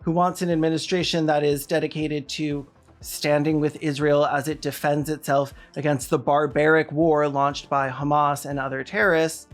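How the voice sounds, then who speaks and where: A video commentator delivering scripted political commentary in a sarcastic, mocking manner. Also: mid-range voice. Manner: sardonic, mocking. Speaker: video essayist and host.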